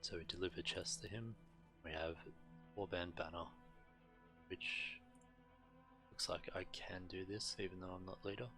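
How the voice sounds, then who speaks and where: A man giving casual, matter-of-fact and slightly halting gameplay commentary as a streamer, reading on-screen text aloud. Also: low voice, fairly even intonation, over music. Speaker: game streamer.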